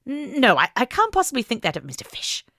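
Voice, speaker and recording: A woman playing a frail, flustered elderly lady in an audiobook, genteel and proper with a breathy, hesitant manner. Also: fairly high pitch, wildly swooping pitch, quick pace, fairly bright tone, no room sound, clean studio sound, pitched above the performer's own voice, heavily acted. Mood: shocked, flustered. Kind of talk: audiobook character acting.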